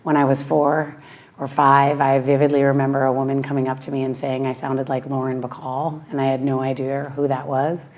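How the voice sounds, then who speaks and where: A woman from Western Massachusetts with a raspy voice, a yoga teacher speaking slowly and thoughtfully in a heartfelt personal interview. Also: extremely low pitch, completely flat delivery, a little mellow. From Western Massachusetts, USA.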